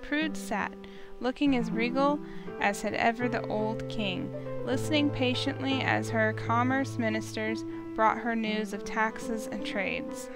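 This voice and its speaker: A woman's voice: a little high, a little slow, loud music behind the voice. A woman reading scripted story narration as the narrator of a visual novel.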